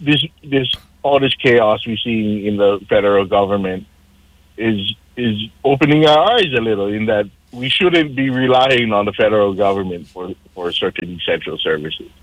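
Earnest low-voiced man on muffled audio. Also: moderate pace, no room sound. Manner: earnest, persuasive, civic-minded. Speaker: council candidate, radio guest. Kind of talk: casual radio conversation. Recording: slightly dull lo-fi sound.

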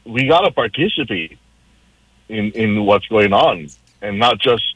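A man's voice: on the low side, animated, unhurried, fairly bright tone, husky, dry recording. A man from Hawaii running for council, speaking as a radio guest in an earnest, persuasive way. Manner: earnest, persuasive, civic-minded. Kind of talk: casual radio conversation. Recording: slightly dull lo-fi sound.

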